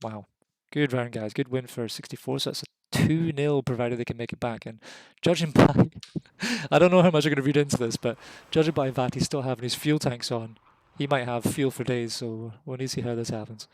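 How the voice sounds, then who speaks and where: A Scottish man giving live, casual, excitable commentary on a competitive flight game match, voice jumping up at the big moments. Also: medium pitch, a little fast, few pauses, poor audio. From Scotland. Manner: excitable, rises sharply at kills; casual and jokey.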